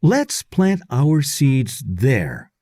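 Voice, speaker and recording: A man voicing a practical, determined young girl in a children's storybook, with plain, deliberate phrasing. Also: mid-range voice, huge pitch swings, mellow, no room sound, studio quality, heavily acted. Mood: keen.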